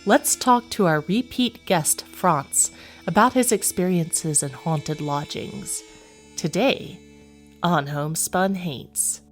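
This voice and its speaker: A woman with a slightly raspy voice, hosting a casual podcast chat and telling stories playfully. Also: lowish voice, takes it slowly, faint music behind. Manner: playful, chatty storyteller. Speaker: podcast co-host. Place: small carriage house room.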